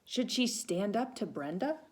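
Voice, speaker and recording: A woman reading a children's story aloud with animated narration and small asides to young listeners. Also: mid-range voice, measured pace. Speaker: volunteer storybook reader.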